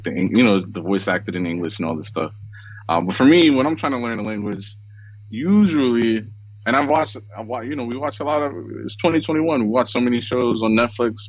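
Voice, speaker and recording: An American man in his thirties, co-hosting a podcast over a video call, relaxed and chatty with frequent chuckles. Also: on the low side. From United States. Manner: relaxed, chuckles often, many fillers. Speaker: podcast co-host. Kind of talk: casual video-call chat. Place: small room at home, indoors. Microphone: headset on video call. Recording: slightly dull, lo-fi call audio.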